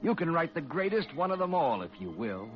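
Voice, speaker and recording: A man's voice: on the high side, sing-song, a little fast. A man playing an earnest, fatherly baseball player in 1940s radio drama style, solemn and urging. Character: famous old-time baseball player, earnest, fatherly adviser. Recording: muffled old radio, loud music behind.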